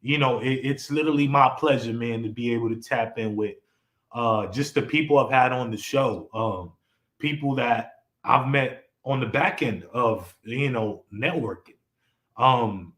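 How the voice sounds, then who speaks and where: A man's voice: mid-range voice, fairly bright tone. Laid-back man hosting in an echoey room. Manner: laid-back and rambling, with many fillers and restarts. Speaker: podcast host. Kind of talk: casual live-stream chat.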